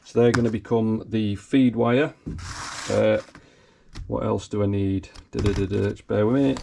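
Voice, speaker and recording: A man with a slightly croaky voice, casually talking himself through wiring a car in his garage. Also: fairly low pitch, fairly even intonation, unhurried, dark tone, a little hiss or hum. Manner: talks himself through each step, thinking aloud. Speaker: car hobbyist. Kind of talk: casual workshop commentary. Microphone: camera mic close to his hands.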